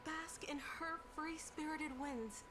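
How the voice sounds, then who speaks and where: A woman's voice: high voice, slow pace, noisy background. A woman voicing a shy young poet and scribe in a video game, with a timid, hesitant manner. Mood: reverent. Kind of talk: scripted video game voice acting.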